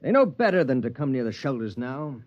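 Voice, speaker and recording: An American actor playing a domineering, ambitious, suspicious husband in a sci-fi radio drama, with a stern, lecturing manner. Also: male voice, mid-range voice, big pitch swings, fairly quick, lightly acted. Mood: angry, impatient. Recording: dull, band-limited vintage radio recording.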